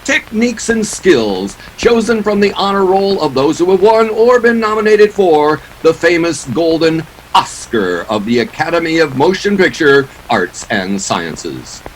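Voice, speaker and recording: A man's voice: fairly high pitch, big pitch swings, unhurried, slight background noise, poor audio. A man announcing a radio play in scripted 1940s style, with a grand, showy manner.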